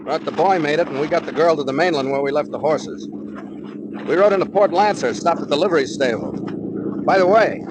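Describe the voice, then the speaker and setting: Guy acting a shrewd terse captain from an old radio serial, slightly high voice, lots of noise. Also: fairly quick, natural voice. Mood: matter-of-fact. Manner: matter-of-fact, terse, hard-boiled. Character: steady, shrewd male sea-captain adventurer. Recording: noisy old 1940s radio recording.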